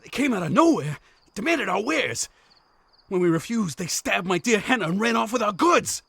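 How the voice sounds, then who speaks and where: A man's voice: sits high, very animated, normal speed, creaky, a bit bright, slightly rough, no echo, exaggerated voice. A man playing a scheming, theatrical bandit ringleader in a fantasy visual novel. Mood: frantic, pleading. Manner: theatrical, pleading then threatening. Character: scheming bandit ringleader posing as frantic merchant.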